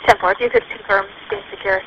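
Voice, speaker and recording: A woman working as a police dispatcher, giving clipped, businesslike procedural calls over a police radio. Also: fast talker. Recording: lo-fi, noisy police scanner radio.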